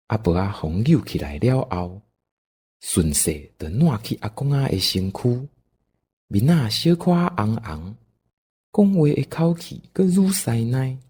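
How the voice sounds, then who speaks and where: A man's voice: fairly low pitch, a little mellow, breathy edge. A man with a Taiwanese accent narrating a scripted radio drama as a storyteller.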